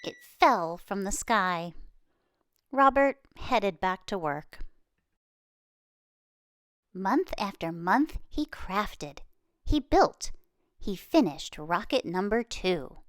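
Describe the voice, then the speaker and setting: A woman reading a children's story aloud expressively, with dramatic pauses and emphasis. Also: mid-range voice, expressive, no echo, studio quality. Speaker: children's book reader.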